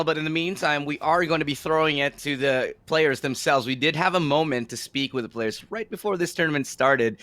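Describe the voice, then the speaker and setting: A man's voice: fairly high pitch, sharp tone, dry recording. A man hosting an esports broadcast panel. He talks fluently and with energy, and he leads the discussion. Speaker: esports caster.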